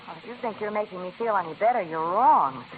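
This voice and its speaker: A woman's voice: medium pitch, animated, quick pace, natural voice. An outspoken, uneasy young woman played by an actress in a 1940s radio drama. She is wry, and the old recording is tinny with faint noise.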